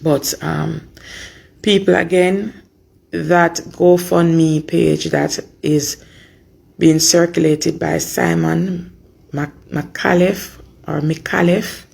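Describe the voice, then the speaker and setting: A woman with a Jamaican accent and a hoarse voice, giving an informal, earnest update to supporters and repeating phrases for emphasis. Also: fairly low pitch, fairly even intonation, very slow, a little mellow. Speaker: mother giving an update on her daughter.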